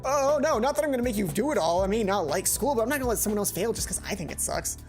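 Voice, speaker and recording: A man's voice: high-pitched, expressive, very fast, darkish tone, faint music behind, voice pushed up. A man voicing an awkward, apologetic teenage schoolboy in a visual novel game, stammering and flustered. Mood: reassuring.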